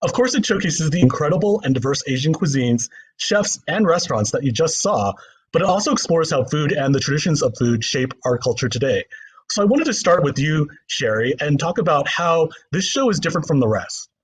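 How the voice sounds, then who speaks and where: Animated, higher-pitched man moderating a panel. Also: a little fast, slightly rough, low-quality recording. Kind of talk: panel moderation, prepared introductions.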